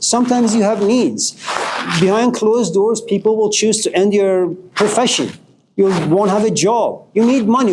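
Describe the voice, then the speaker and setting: Middle-aged male lecturer from Iran, passionate, blunt, curses for emphasis; light background hiss. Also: high voice. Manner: passionate, swearing, rising to emphatic outbursts. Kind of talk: informal philosophy lecture.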